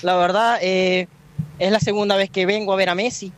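A man's voice: sits high, steady tone, moderate pace, fairly bright tone. A man being interviewed as a football fan, speaking hesitantly with many fillers.

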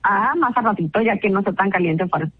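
A woman's voice: mid-range voice, fast talker, narrow, tinny audio. A woman in a casual phone chat, talking politely and patiently with an amused manner. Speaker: phone participant in a radio prank.

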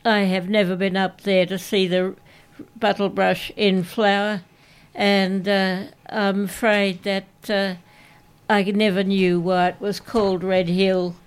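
Slow, smooth Australian woman. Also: mid-range voice, flat delivery. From Canberra, Australia. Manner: unhurried, reads aloud from a book. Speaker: radio co-host. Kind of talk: casual radio chat. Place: radio studio.

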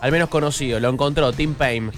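A man's voice: mid-range voice, brisk, faint music behind. A man with a Rioplatense Spanish accent telling a story on a radio show panel, chuckling as he goes. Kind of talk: casual studio chat.

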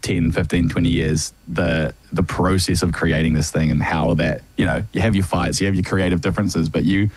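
A man interviewing a young band, full of praise and pausing often with fillers. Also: low-pitched, steady tone, darkish tone, breathy edge. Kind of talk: casual interview.

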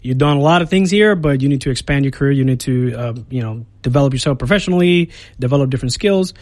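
A man's voice: medium pitch, quick pace, darkish tone, no room sound. Chatty Puerto Rican man in a dry studio. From Puerto Rico. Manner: rambling, many fillers and restarts. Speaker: Air Force recruiter. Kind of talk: casual radio interview. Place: radio studio.

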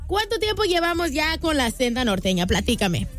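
A woman's voice: high-pitched, big pitch swings, moderate pace, a bit bright, faint music behind. A woman radio DJ, hosting a live interview in an effusive, upbeat way. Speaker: radio DJ host. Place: radio studio.

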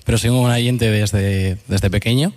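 A man's voice: on the low side, fairly even intonation, unhurried, darkish tone. A man with a Castilian Spanish accent, chatting casually as an audience member at a radio show. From Burgos, Spain. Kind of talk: casual chat. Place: live radio show in a venue. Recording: reverberant hall.

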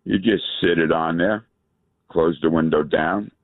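Gravelly caller on a tinny phone line. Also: male voice, low voice, fairly even intonation, no echo. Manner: rambling, halting, gravelly. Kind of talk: phone-in chat.

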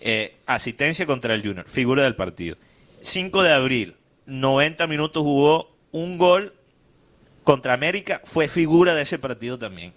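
A man's voice: medium pitch, normal speed. A man hosting a sports talk show, reading listener comments and listing a player's stats. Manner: reels off stats in a steady list. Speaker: sports radio host.

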